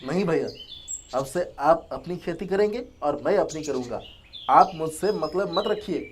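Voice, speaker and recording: Actor as resentful young village farmer, sulky, complaining, animated pitch, audio story, birds behind. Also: normal speed, a little hiss or hum, close to an everyday voice.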